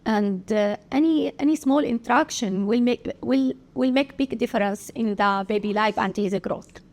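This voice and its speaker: Woman, from Syria, Arabic accent, pediatrician guest, hesitant speech, fairly high pitch. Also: a little mellow. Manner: halting, many filler sounds, simple phrasing. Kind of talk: hesitant interview answers.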